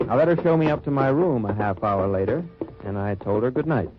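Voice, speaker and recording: A man playing a cool, watchful undercover agent who narrates his wartime mission in measured, wry radio drama style. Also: mid-range voice, animated, lightly acted. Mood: suspenseful, watchful. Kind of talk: 1940s-50s radio drama acting, first-person narration. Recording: muffled old-time radio recording with music.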